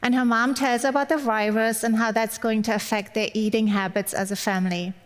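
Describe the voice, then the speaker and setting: A woman with a German accent delivers a warm, chatty Mother's Day sermon to a congregation. Her voice sits a little high for a woman. It carries a long reverb from the hall around her. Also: steady tone, a little fast. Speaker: guest speaker.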